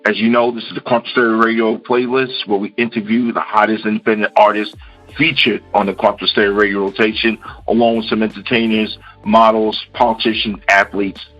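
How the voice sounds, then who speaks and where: Male radio host from Queens, low and punchy, casual talker, lo-fi sound. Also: little pitch movement, brisk, fairly bright tone, faint music behind. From Queens, New York. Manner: casual, repeats phrases and says "you know" often. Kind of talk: radio interview.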